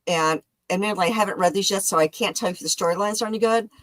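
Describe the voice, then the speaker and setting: A woman's voice: mid-range voice, very fast, no echo. A woman talking casually as a panelist on a livestream about comic books.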